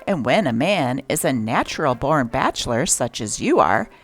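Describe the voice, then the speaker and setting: A woman hosting a show that reads aloud from old newspapers, with an expressive, varied delivery. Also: normal speed, soft background music. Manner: expressive reading with lively pitch. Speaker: channel host reading old newspapers.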